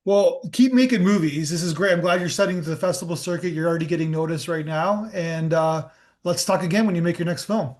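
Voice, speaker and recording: A man hosting a podcast interview, relaxed and chatty, encouraging his guest. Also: fairly high pitch, brisk. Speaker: podcast host. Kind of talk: casual interview.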